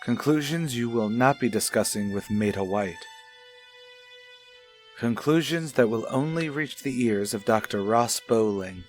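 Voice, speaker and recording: An actor playing a gentle, probing child psychologist in a radio soap, speaking in a measured, soothing, tactful way. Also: male voice, medium pitch, expressive, faint music behind, close to an everyday voice. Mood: pensive. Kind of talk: old radio soap opera acting.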